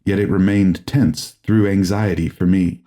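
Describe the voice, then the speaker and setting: A man reading a horror audiobook as a grave, formal, deliberate and dramatic narrator. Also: sits low, steady tone, mellow.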